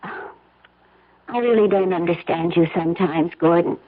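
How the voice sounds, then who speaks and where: An actress playing a concerned, puzzled and affectionate wife in an old-time radio mystery, with a gently questioning, homely manner and a husky voice. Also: female voice, fairly low pitch, a little slow. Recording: thin, muffled old radio recording.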